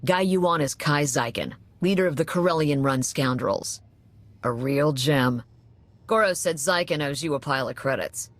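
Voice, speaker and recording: Woman as low-voiced, wry cantina keeper. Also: normal speed, no echo, natural voice. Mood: sardonic. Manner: sardonic, nonchalant. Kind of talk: video game character acting.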